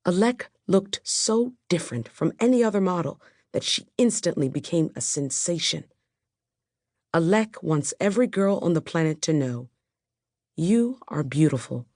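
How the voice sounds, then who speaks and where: A woman narrating a children's audiobook from a script, telling the story in a measured, clear way. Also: fairly low pitch, animated, a little mellow, no room sound, clean studio sound.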